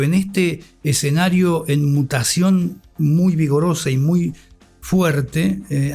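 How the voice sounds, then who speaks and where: Deliberate, erudite Argentine man. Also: fairly high pitch, vocal fry, darkish tone. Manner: deliberate, erudite, formal vocabulary. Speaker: interview guest, radio scholar. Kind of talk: thoughtful interview conversation.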